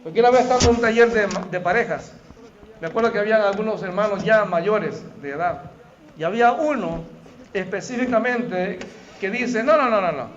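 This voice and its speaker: A middle-aged Salvadoran pastor with a Salvadoran Spanish accent preaches to his church in a high, light voice. He keeps it conversational and talks straight to the congregation. The recording sounds dull and lo-fi. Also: expressive, normal speed, a little hiss or hum. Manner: conversational, addresses the congregation as "hermano". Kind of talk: sermon. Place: church hall.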